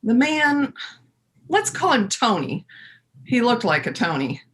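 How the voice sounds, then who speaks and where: A woman playing a folksy storyteller in her fifties in a readers theater monologue, conversational and confiding, with dramatic pauses. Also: fairly high pitch, sing-song, a bit bright. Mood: storytelling.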